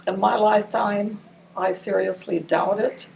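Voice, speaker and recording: A woman's voice: mid-range voice, steady tone, a little slow, vocal fry, a little mellow. An older woman from Ramallah whose first language is Arabic, recalling her childhood in an oral history interview. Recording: distant mic with faint noise.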